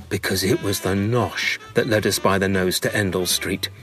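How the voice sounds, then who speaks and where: A British man with a slightly low voice narrates a comic memoir. He is wry and mock-grandiose, relishing every ornate phrase, with music playing behind him. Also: fairly bright tone. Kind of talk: scripted humorous memoir narration.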